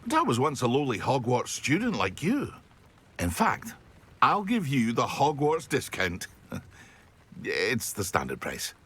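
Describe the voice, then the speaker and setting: A boastful potion shopkeeper in a fantasy game, raspy, lots of up and down. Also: male voice, mid-range voice, wildly swooping pitch, a little slow, a bit bright, heavily acted. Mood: jovial, boastful. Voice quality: husky. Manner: chuckling, boastful. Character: proud, jovial male village potion seller. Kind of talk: video game shopkeeper dialogue.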